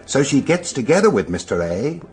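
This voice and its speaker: A man's voice: mid-range voice, very animated, brisk, darkish tone, faint music behind, natural voice. An actor playing a young man who reasons insistently and persuasively as an amateur sleuth in a radio drama. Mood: coolly reasoning. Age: young adult.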